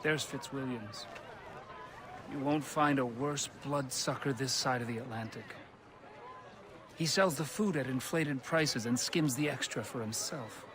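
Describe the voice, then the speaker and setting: An actor playing an articulate, formal and earnest revolutionary gentleman in game drama dialogue. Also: medium pitch, unhurried, background noise, natural voice. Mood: scornful.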